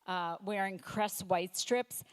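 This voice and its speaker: A woman in her fifties doing a stand-up comedy set, delivering deadpan one-liners with halting fillers and self-corrections. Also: mid-range voice, clear, resonant tone. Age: 50s. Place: comedy night stage before a live audience.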